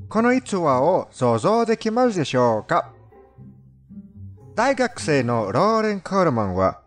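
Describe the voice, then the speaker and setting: Animated high-pitched man with foreign accent, narrating. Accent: foreign, non-native Japanese. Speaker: fandub narrator of a countdown video. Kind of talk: scripted narration of creepy countdown stories. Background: background music.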